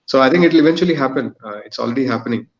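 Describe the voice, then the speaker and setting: A man's voice: mid-range voice, racing, lo-fi sound. A man talking conversationally as a panel guest, hesitant and thinking aloud as he goes. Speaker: podcast guest, employer.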